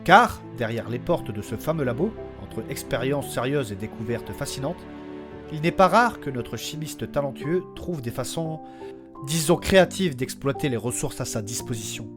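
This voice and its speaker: A man's voice: mid-range voice, lively intonation, normal speed. A man delivering scripted narration as the narrator and creator of an audio fiction podcast. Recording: faint music behind the voice.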